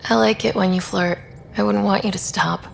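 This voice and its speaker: A woman's voice: medium pitch, fairly even intonation, moderate pace, vocal fry, slight background noise. A woman playing a young leader of a space exploration team in a video game, speaking casually and directly. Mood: friendly. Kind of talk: video game dialogue acting.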